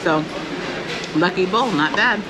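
A woman's voice: lowish voice, a little slow, heavy background noise. A woman in her thirties giving a frank, casual food review as she eats and talks with her kids, recorded on an action camera at a busy restaurant table. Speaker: family vlogger reviewing food. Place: busy cruise ship buffet restaurant indoors. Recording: loud crowd of diners behind. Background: crowd chatter.

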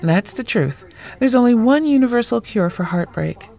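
An actress playing a blunt, wry, loyal friend in a naturalistic audio drama, speaking casually. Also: female voice, a little high, lively intonation, normal speed, vocal fry, darkish tone. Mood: wry, teasing. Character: blunt, foul-mouthed, loyal woman friend, homebody.

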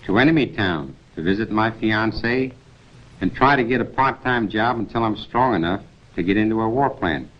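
A man's voice: fairly low pitch, lively intonation, moderate pace, lightly acted. An actor in a 1940s training film playing an undercover agent reciting his cover story. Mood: matter-of-fact. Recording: dull old film soundtrack.

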